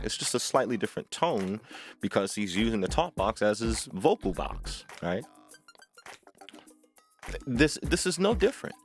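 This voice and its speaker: A man hosting an informal music tutorial, speaking in emphatic, deliberate phrases and often checking in with rhetorical tag questions. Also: mid-range voice. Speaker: music tutorial host, vocalist and producer. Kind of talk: informal instructional talk to camera.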